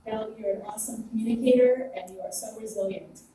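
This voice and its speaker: A woman with a mid-pitched voice who speaks affectionately, giggling now and then. She sounds distant in a very echoey space, with clear background noise. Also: steady tone, quick pace, dark tone. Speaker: colleague giving a tribute.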